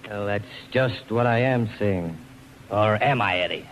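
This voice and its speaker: An actor playing a tough, wary club owner and scheming rival in a 1940s radio drama. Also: male voice, fairly low pitch, unhurried, lightly acted. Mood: grudging. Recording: faintly noisy 1940s radio broadcast.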